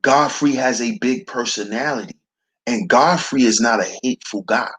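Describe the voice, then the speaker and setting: A man with a baritone voice hosting a slow, preachy commentary monologue, calling his listeners brothers. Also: a bit bright, breathy edge, poor audio. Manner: preachy, addresses listeners as brothers, repeats "see". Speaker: commentary channel host.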